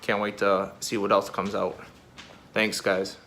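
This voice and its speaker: A man from Milwaukee giving a quick, upbeat casual update video. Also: lowish voice, monotone, background noise. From Milwaukee, Wisconsin. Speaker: customer giving a testimonial.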